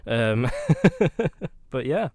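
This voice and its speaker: A man chatting casually on a podcast panel, making his pitch in a halting, hesitant way. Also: very animated, gravelly, darkish tone, no room sound, studio quality. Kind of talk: casual debate chat, pitching a film idea.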